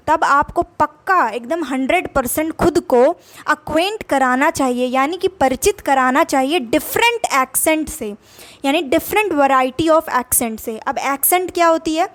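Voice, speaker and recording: A woman's voice: high voice, a bit bright. A young Indian woman with an Indian accent teaching English vocabulary in a casual lesson, patiently explaining each word and repeating its meaning in Hindi and English.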